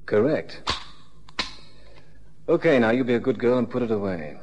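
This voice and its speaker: A man's voice: medium pitch, expressive, moderate pace, darkish tone, muffled recording, natural voice. A man acting a wry radio detective, noisy recording. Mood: confident. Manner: glib, hesitant wisecracks. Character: wry, wisecracking male private investigator. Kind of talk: 1960s radio drama acting.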